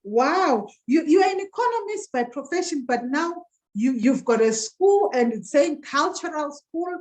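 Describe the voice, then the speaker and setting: A high-pitched woman hosts a casual online interview. She is upbeat and exclamatory, and speaks to her listeners and guest as familiar friends. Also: animated, measured pace, darkish tone. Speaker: host of an online show interviewing African women.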